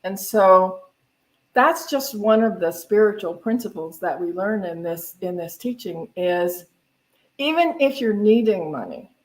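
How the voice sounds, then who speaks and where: A woman's voice: mid-range voice, lively intonation, measured pace, low-quality recording. A woman minister speaking informally at a spiritual center service, in a conversational, rambling way that often corrects itself. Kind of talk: informal church talk on giving.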